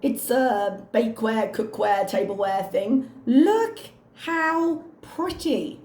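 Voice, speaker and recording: A woman with a hoarse, slightly strained voice, chatting casually and wryly as a reaction channel host. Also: a little high, expressive, slow, a little mellow, echoey room, soft background music. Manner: chatty, wry, self-mocking. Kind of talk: casual chat to camera.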